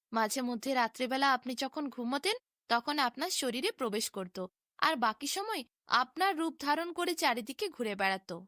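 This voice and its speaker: A woman's voice: high-pitched, sharp tone, no echo, studio quality, heavily acted. A woman voicing a young shepherd boy hero in a fairy tale, speaking respectfully and earnestly.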